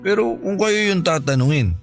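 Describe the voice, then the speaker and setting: A man playing a respectful, concerned young nephew with a Filipino accent, gently questioning in a radio drama. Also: high-pitched, animated, normal speed, faint music behind. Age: young adult. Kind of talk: Tagalog radio drama acting.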